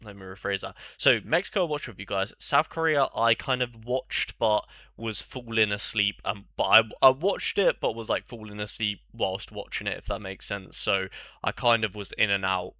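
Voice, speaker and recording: A man's voice: on the low side, pushed and bright, no echo. Congested man chatting on stream. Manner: chatty, rambling, answers viewers' chat. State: congested, bunged up. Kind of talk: casual live-stream chat.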